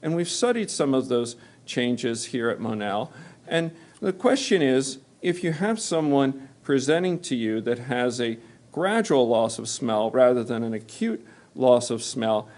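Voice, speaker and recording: Measured male physician moderating a panel. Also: medium pitch, a little mellow. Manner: measured, explanatory, with frequent "you know". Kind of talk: panel discussion explaining to physicians. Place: hospital symposium hall.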